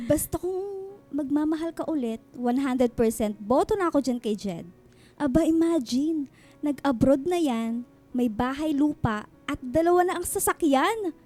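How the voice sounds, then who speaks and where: High-pitched, teasing Filipina best friend. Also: female voice, lively intonation, measured pace, a little mellow. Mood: eager, persuasive. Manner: gushing, slangy, exclamatory, bantering. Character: teasing, nosy, matchmaking woman friend in her thirties. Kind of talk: Filipino radio drama acting, conversational. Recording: music bed under the dialogue.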